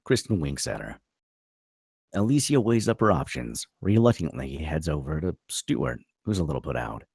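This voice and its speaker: A man's voice: rumbling low, sing-song, moderate pace, vocal fry, darkish tone, breathy edge, dry recording, clean studio sound. A man reading out screenplay stage directions as the narrator of a table read.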